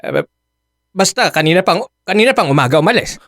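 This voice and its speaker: Halting older father, dry sound. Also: high-pitched, lively intonation, a bit bright, dry recording. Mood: hesitant, evasive. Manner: halting, evasive. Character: hesitant older father of the runaway boyfriend. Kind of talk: radio drama acting.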